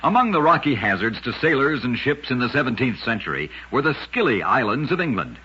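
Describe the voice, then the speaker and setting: A man's voice: mid-range voice, very animated, brisk, bright, forceful tone, husky. A man narrating short curiosities for radio in a scripted, dramatic style with emphatic storytelling. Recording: dull lo-fi old radio recording.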